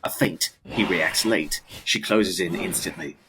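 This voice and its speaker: A man with a deep, husky voice giving a dramatic scripted narration of a gothic story. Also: a little slow, noisy background.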